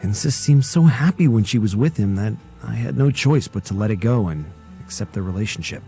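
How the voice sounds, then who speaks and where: An actor playing an earnest, guarded young man in a video game, solemnly telling of a painful past in measured, formal words. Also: lowish voice, lively intonation, gravelly, warm tone, faint music behind. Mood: bitter, restrained.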